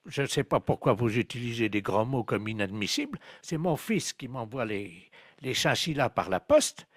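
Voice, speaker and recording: A man's voice: medium pitch, big pitch swings, moderate pace, no room sound, studio quality. A man voicing a naive, unrepentant angler in a prank call, innocent, puzzled and matter-of-fact. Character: naive, unrepentant male angler, blithely missing the point. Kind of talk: improvised prank phone call.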